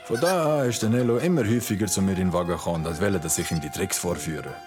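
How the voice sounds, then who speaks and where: Casual young storyteller, male actor: Swiss German accent, deep voice, recounting over clear background music. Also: fast, lightly acted. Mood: wry, recounting. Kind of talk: radio drama narration, casual recounting.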